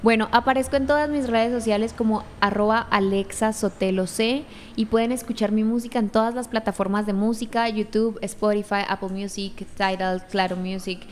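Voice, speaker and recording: A woman's voice: medium pitch, fairly even intonation, moderate pace, few pauses, darkish tone. A woman from Colombia with a Mexican-sounding Spanish accent, an upbeat singer guest in a radio interview. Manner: upbeat, enthusiastic. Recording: long reverb, faint noise.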